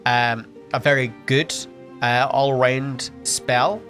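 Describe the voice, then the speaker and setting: A man's voice: mid-range voice, fairly even intonation, takes it slowly, a bit bright, soft background music. A man commentating a video game at a casual pace, sniffly, thinking aloud with frequent ums and restarts. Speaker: gameplay commentator. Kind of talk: casual let's-play commentary.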